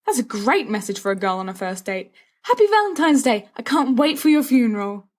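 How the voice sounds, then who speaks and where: A woman's voice: fairly high pitch, very animated, a little fast, darkish tone, voice pushed up. A woman voicing a mischievous, dramatic teenage wizard schoolboy with a teasing, theatrical delivery in an audiobook-style story. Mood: scornful. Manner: theatrical, teasing, flowery speech.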